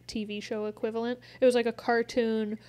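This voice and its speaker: A woman's voice: a little high, level tone, brisk, mellow. A woman of twenty-six co-hosting a podcast, telling stories in an offhand, swearing, casual way. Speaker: co-host. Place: home studio with bookshelves. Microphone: studio mic with headphones.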